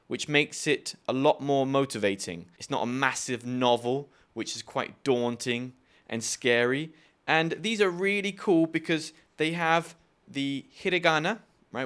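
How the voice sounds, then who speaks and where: A man's voice: medium pitch, fairly bright tone. A young man hosting a friendly language-learning lesson, telling stories and giving tips in an upbeat, chatty way. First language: English. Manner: upbeat, chatty storytelling with quick asides. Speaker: language teacher and video host. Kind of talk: friendly explanatory video lesson.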